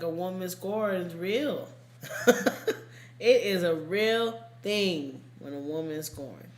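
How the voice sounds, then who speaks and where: A woman co-hosting a podcast, chatting casually about true crime in a blunt, colloquial way with plenty of swearing. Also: lowish voice. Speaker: podcast co-host. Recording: echoey room.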